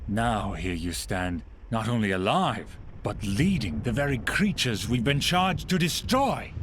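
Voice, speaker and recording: An actor playing a commanding older general, a self-assured villain in a video game, speaking grandly and formally. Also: male voice, on the low side, lively intonation, measured pace, a little hiss or hum. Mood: intense, persuasive. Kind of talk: dramatic video game acting.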